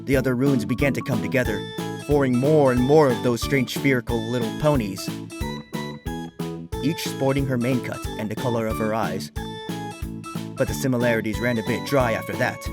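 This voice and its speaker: A man narrates a scripted fan fiction story. His voice sits at a medium pitch, with music clearly playing behind him. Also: fairly even intonation, moderate pace. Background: background music.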